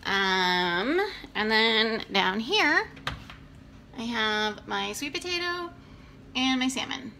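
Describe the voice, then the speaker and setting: A woman in her thirties casually showing her meal prep at home, talking in an unhurried way with many fillers. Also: on the high side, animated, sharp tone. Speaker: fitness coach vlogger. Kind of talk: casual how-to vlog. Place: home kitchen, indoors. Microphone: camera on the counter. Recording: echoey kitchen with room noise.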